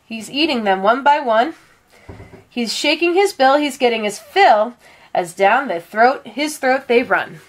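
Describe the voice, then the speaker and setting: A woman's voice: fairly high pitch, animated, measured pace. A woman voicing an observant young country boy from a storybook, in a singsong, matter-of-fact manner. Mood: playful.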